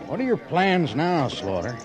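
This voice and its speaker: An actor playing an earnest, folksy cattle buyer in an Old West radio drama. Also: male voice, a little high, very animated, unhurried, over music. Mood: businesslike. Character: plain-spoken, civic-minded male frontier cattle buyer. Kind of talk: 1950s radio western acting. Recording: vintage radio drama recording.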